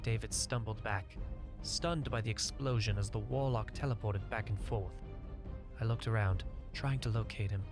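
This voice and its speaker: A man's voice: on the low side, normal speed, over music. A man narrating a scripted first-person fantasy short story as an audiobook reader.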